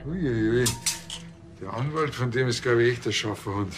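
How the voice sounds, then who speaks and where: An actor playing an easygoing, cheeky police officer with a Bavarian accent, talking casually in a TV crime comedy. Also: male voice, medium pitch, moderate pace, natural voice. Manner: colloquial, casual.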